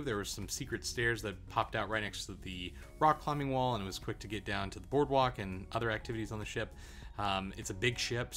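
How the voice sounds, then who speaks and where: Chatty man with a lowish voice. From Arizona, USA. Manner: relaxed, chatty, frequent uh and um fillers. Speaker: travel vlogger. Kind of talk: casual review to camera.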